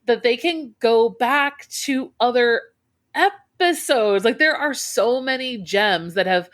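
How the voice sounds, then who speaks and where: A woman's voice: a little high, lively intonation, takes it slowly, a bit bright. A woman co-hosting a teaching podcast, friendly and encouraging, often restarting her sentences. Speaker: podcast co-host. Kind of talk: casual chat.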